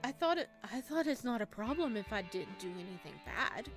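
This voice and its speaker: A woman's voice: fairly high pitch, lively intonation, fairly quick, over music, lightly acted. A woman voicing an awkward, eager, easily wounded young man in a visual novel, hesitant and earnest. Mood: sheepish, apologetic.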